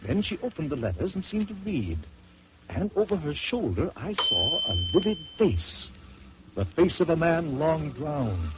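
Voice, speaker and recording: A man narrating a first-person horror story in dramatic old-time radio style, swelling and dropping to hushed tones. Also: fairly high pitch. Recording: dull lo-fi old radio recording with hiss.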